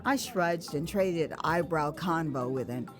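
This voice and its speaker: An American woman narrates a first-person story in a theatrical, expressive style. She drops in conversational asides as if confiding in the listener. Music plays clearly behind her voice. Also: sits low, a little slow. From United States. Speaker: author and narrator of her own memoir.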